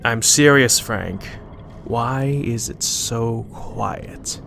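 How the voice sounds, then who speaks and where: Actor as a gravelly, deadpan guarded fighter. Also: male voice, medium pitch, very slow, background noise. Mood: tense, alert. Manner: matter-of-fact, deadpan. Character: dry, guarded male monster-fighting companion. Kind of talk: audio drama dialogue.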